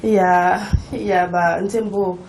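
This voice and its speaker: Une femme qui anime une interview télévisée et rit légèrement en citant des paroles de chansons. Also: female voice, lowish voice, fairly even intonation, a little slow, darkish tone, a little hiss or hum. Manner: laughs lightly, reads out song lyrics. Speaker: TV host. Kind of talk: TV interview hosting.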